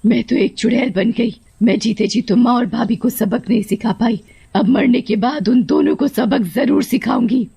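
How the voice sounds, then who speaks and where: A woman's voice: on the high side, normal speed, creaky, dark tone, slightly rough, heavily acted. A woman voicing a wronged young wife turned witch, tearful, aggrieved and threatening, in a cartoon story. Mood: vengeful.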